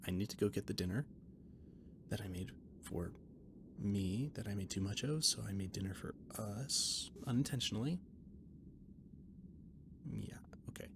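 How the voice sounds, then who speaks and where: A man's voice: on the low side, normal speed, long pauses, lightly acted. A man playing a flustered, rambling catboy who cooks aboard a spaceship, hesitant and stammering, in an intimate roleplay audio drama. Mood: flustered, evasive. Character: flustered, rambling catboy ship's cook and mechanic, eager friend. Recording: noticeable background noise and ambience.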